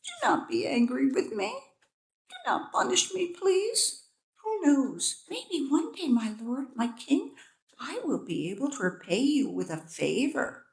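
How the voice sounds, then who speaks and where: A woman voicing a young, foolish male bee who pleads and flatters in a squeaky, high voice. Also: unhurried, vocal fry, full character voice. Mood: pleading. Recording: echoey room.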